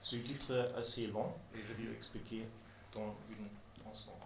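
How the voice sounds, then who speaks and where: A man presenting his research in French, which is not his native language, speaking haltingly with many pauses and restarts. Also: on the low side, level tone, vocal fry. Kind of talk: hesitant formal presentation in a second language. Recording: echoey room, faint background noise.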